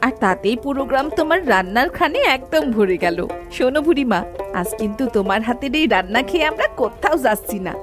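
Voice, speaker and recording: Lively, curious village neighbour woman acted out. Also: a little high, fast, long pauses, over music, lightly acted. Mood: amazed, curious. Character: amazed, curious village neighbour eager to eat. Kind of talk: animated fairy-tale cartoon dialogue.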